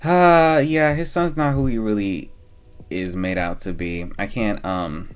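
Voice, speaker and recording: A man's voice: on the low side, a little slow, clear, resonant tone, soft background music. A man giving casual reaction commentary in an informal way, repeating phrases for emphasis. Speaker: reaction video host.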